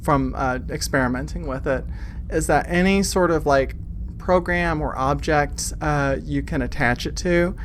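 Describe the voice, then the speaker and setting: A man narrating a tabletop game as game master, in a halting, informal way, piecing the scene together as he talks. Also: a little high, slight background noise.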